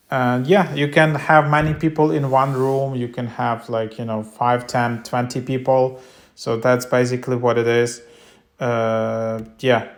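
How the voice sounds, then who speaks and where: Male tutorial presenter, unscripted and informal, loosely phrased, with a level, unvarying intonation. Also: medium pitch. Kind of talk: casual unscripted tutorial narration.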